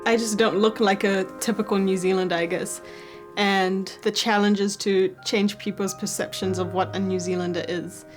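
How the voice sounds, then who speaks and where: A woman's voice: mid-range voice, steady tone, moderate pace, hardly any pauses. Young woman from zambia talking candid doc voiceover, says like a lot, music playing behind. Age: young adult. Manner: candid, conversational, filler "like". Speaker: university student. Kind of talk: documentary voice-over.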